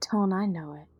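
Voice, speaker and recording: A woman playing a tough, teasing female vigilante in an audio drama, with a husky, breathy voice and wry manner. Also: on the low side, darkish tone, muffled recording.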